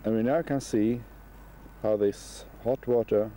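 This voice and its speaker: Slow, deep-toned man narrating home video. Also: fairly even intonation, mellow, a little hiss or hum. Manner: slow, halting commentary with pauses. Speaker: travel narrator. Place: outdoors by a lake.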